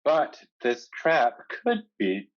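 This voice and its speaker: A woman playing a wary pirate officer in a staged play reading, deliberate and halting, with dull recorded sound. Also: extremely low pitch, big pitch swings, heavily acted.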